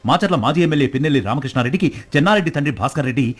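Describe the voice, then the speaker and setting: A man's voice: on the high side, rapid-fire. A man reading scripted news narration in the manner of a bulletin reporter.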